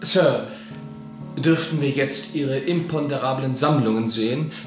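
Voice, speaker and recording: An actor in a German radio play voicing an obsequious, wordy English gentleman with formal, flowery speech. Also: mid-range voice, measured pace, over music, natural voice. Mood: flattering, deferential.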